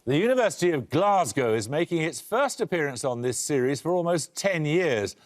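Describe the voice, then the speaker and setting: A man hosting a television quiz show, reading questions and introductions in a crisp, dry, authoritative way with wry asides. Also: a little high, sing-song, normal speed, a loud crowd, heavy background noise. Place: television studio.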